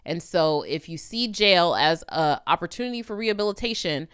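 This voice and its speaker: Clear-voiced woman giving emphatic commentary. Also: lowish voice, expressive, moderate pace, a bit bright. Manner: emphatic, opinionated, addressing the viewer directly. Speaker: legal commentator hosting a video channel. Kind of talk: conversational legal commentary.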